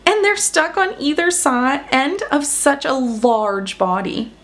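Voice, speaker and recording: A woman's voice: high voice, slow pace, pitched above the performer's own voice. A woman voicing a teasing, giggly python in a children's storybook. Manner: mocking, giggly.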